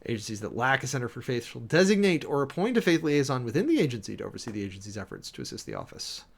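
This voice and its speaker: A man's voice: medium pitch, wildly swooping pitch, rapid-fire, creaky, breathy edge. A man hosting a livestream, reading a formal document aloud and commenting off the cuff in an informal, emphatic way. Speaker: livestream host.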